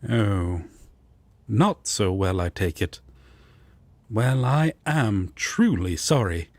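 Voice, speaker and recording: A man playing a prim, indignant yet devoted angel roommate in a romantic audio roleplay, with a breathy, husky voice and fussy, formal diction. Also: on the low side, expressive, slow pace, darkish tone, dry recording. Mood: tender, solicitous.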